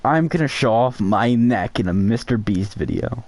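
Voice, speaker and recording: A man's voice: medium pitch, animated, normal speed, darkish tone. A man co-hosting a podcast, riffing silly jokes in casual chat. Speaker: podcast co-host.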